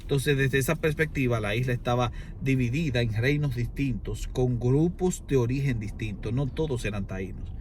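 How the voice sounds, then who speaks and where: A man's voice: mid-range voice, normal speed, hardly any pauses. A Dominican man with a mid-range voice lays out an argument in conversation. His wording is formal and erudite, his delivery oratorical, leaning on emphatic repetition. There is noticeable noise in the recording. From Dominican Republic. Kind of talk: extended argumentative explanation in conversation.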